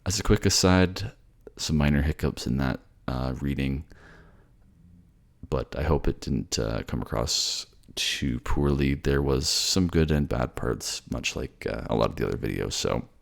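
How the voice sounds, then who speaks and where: A man with an extremely low, creaky voice talks casually to his audience as host. He is polite and modest, a little hesitant and self-deprecating. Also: animated, unhurried. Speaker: voice actor, channel host. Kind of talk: casual sign-off to listeners.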